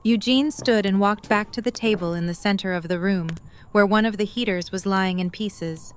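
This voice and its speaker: A woman's voice: medium pitch, moderate pace, slight background noise. A woman reading scripted third-person narration as the narrator of a story.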